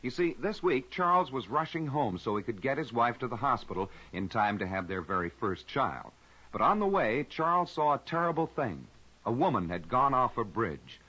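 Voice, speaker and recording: A man's voice: medium pitch, sing-song, moderate pace, bright, forceful tone, husky. An American man in 1950s radio style, narrating from a script and addressing listeners in a dramatic, folksy way. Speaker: radio host and sponsor announcer. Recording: dull old radio recording.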